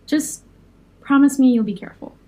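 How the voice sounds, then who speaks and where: A woman's voice: high-pitched, normal speed, natural voice. A woman playing an anxious, caring young fire breather in an audio drama, speaking hesitantly. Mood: worried. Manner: hesitant, soft-hearted, worried. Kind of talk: naturalistic audio drama dialogue.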